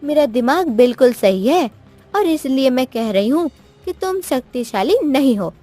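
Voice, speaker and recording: A woman's voice: high voice, lively intonation, normal speed, mellow, pitched above the performer's own voice. A woman voicing a clever, bold young wife in a cartoon moral tale, speaking in a taunting, coaxing manner. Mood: defiant, taunting. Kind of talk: cartoon moral story acting. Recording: music behind the voice.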